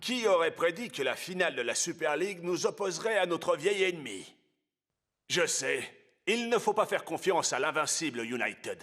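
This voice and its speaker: High-voiced, confident young football hero. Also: male voice, expressive, moderate pace, a bit bright.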